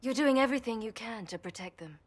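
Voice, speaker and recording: An actress playing a weary, modest champion in a fantasy video game, measured and reflective. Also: female voice, on the high side, animated. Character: weary, modest female champion, sober and helpful. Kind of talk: video game dialogue acting.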